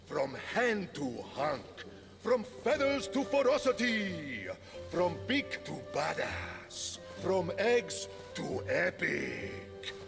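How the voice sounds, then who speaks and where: A man's voice: high-pitched, very animated, extremely slow, vocal fry, music in the background, exaggerated voice. A man playing a melodramatic, boastful war god trapped in a bird's body in a video game. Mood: triumphant bluster. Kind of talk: video game cutscene acting.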